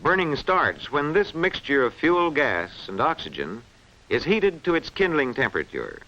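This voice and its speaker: A man reads scripted instructional film narration in a clearly enunciated, didactic way. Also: on the high side, very animated, moderate pace, sharp tone. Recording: dull, band-limited vintage film soundtrack.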